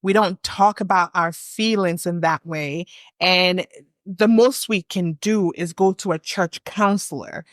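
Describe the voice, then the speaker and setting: A woman's voice: lowish voice, a little slow, no room sound, clean studio sound. A young adult woman from West Africa, co-hosting a reflective podcast conversation and building her points in long, earnest runs. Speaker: podcast co-host.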